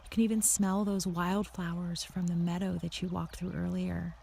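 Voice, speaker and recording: Creaky, low-voiced woman guiding meditation. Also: little pitch movement, normal speed, mellow, slight background noise. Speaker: guided meditation narrator. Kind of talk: guided meditation, second-person scripted visualization.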